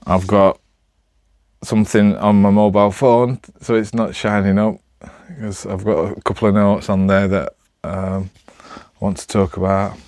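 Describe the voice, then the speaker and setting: A man's voice: fairly low pitch, steady tone, unhurried, a little mellow, no room sound, studio quality. A man with a Northern English accent chatting casually to camera, rambling in a hesitant, self-deprecating way. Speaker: amateur vlogger.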